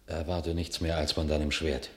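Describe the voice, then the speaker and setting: A grave, loyal knight reports bitter news in a classical radio drama. His voice is low, his delivery stern and declamatory, in formal verse. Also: little pitch movement, normal speed. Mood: stern, blunt.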